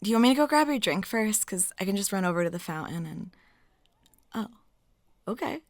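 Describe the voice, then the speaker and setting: A woman acts out a talkative, flirty waitress in an intimate audio roleplay. Her mid-pitched voice is soft and noticeably creaky, slipping toward near-whispers. She sounds lonely and smitten, recorded very dry, with no room around her. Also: lively intonation, fairly quick, no echo, close to an everyday voice. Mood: playful, flirty. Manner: soft, rambling, giggly, drops into near-whispers.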